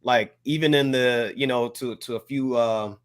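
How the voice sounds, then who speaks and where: Male podcast co-host, mid-range voice, casual and slangy, feels his way through phrases haltingly. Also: mid-range voice, fairly even intonation. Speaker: hip-hop podcast co-host. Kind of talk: casual chat.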